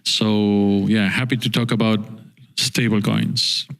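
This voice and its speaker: A man's voice: lowish voice, flat delivery, takes it slowly, gravelly, fairly bright tone. A man speaking on a conference panel, earnest and repeating words as he works out his points. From Argentina.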